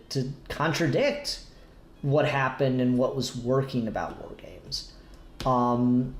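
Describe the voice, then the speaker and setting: A man hosting a casual review discussion, speaking haltingly and searching for words as he works through his points. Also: medium pitch. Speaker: podcast host discussing comics. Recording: roomy echo, faint music behind.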